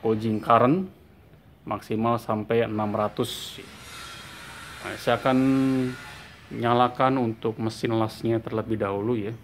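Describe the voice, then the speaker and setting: A man's voice: fairly low pitch, little pitch movement, unhurried, pauses often. A man with an Indonesian accent giving a casual, explanatory product demonstration, repeating key points. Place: indoor workshop. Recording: clear workshop hum and ambient noise.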